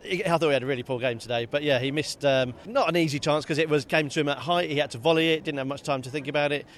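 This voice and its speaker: Quick wry man, faint street noise. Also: a little high, fairly bright tone. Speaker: podcast co-host. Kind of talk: casual football chat. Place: outdoors by a stadium.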